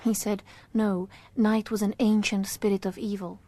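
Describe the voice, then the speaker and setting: Calm, teasing woman, an actress in character. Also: mid-range voice, normal speed, lightly acted. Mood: reflective, wry. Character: calm, teasing wife of a detective, a painter. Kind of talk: radio drama acting.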